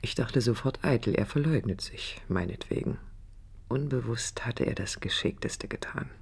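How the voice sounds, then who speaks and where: Husky, low-voiced disillusioned young woman. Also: moderate pace, darkish tone, heavily acted. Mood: wistful, ironic. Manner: reflective, intimate, literary. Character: disillusioned young woman architect recalling her failed marriage. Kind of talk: dramatic monologue in radio play.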